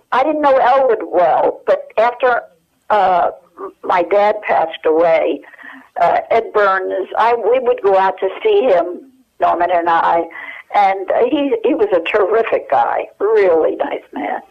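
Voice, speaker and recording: Old american lady on the phone, muffled lo-fi sound, kinda halting, searching her memory as she chats. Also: mid-range voice, lively intonation. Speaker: interview guest. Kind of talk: casual reminiscing interview. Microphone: telephone. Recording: dull, lo-fi telephone hook-up.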